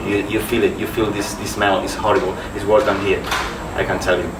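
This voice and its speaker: Spanish guest, low monotone man, distant and roomy. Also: brisk. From Spain. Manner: hesitant, searching for words. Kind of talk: casual conversation. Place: office contact centre. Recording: roomy, distant, background hum.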